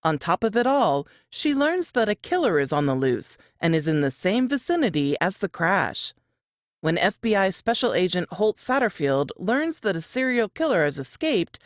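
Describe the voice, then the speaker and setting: A woman reading a scripted, dramatic book blurb in a measured, expressive way. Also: on the low side, no room sound, clean studio sound.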